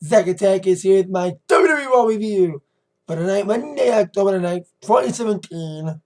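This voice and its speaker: An American man with a slightly hoarse voice, giving a rambling, informal and emphatic recap as a wrestling review vlogger. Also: sits high, animated, normal speed, darkish tone. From United States. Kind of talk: casual recap commentary to camera.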